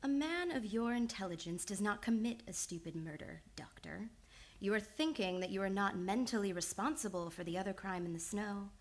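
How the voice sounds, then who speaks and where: Woman acting as smart young lady psychoanalyst in a radio play, medium pitch, calm, measured, sure of herself. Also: lightly acted. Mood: steely composure. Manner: measured, assured, matter-of-fact. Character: composed, shrewd young female psychoanalyst confronting a killer. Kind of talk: old-time radio drama acting.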